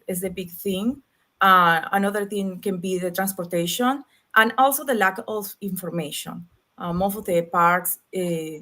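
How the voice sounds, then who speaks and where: A woman with a Venezuelan Spanish accent talks over a video call, her English earnest and a little halting. She is a native Spanish speaker explaining her work as a panel guest, in a voice of middling pitch. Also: fairly bright tone. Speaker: nonprofit leader, guest. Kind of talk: online panel discussion.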